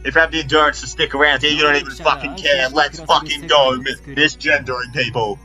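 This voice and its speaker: A man's voice: a little high, fairly even intonation, fairly quick, bright, forceful tone, rough recording. Sweary, rambling man over music. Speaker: video creator. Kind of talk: unscripted gameplay commentary.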